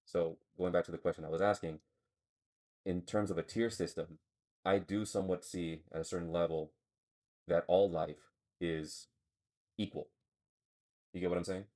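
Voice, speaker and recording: A man with a very low voice that barely rises or falls. He co-hosts a relaxed podcast conversation and rambles as he works his ideas out aloud. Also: moderate pace, long pauses. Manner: thinking aloud, rambling and searching for words. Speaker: podcast co-host. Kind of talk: casual philosophical chat.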